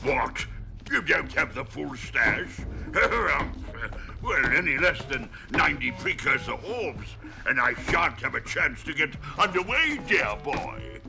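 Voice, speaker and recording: A man's voice: fairly high pitch, sing-song, slow pace, vocal fry, over music, full character voice. A man voicing a pompous, genteel older uncle in a video game cutscene, speaking in a posh, long-winded, fussy way.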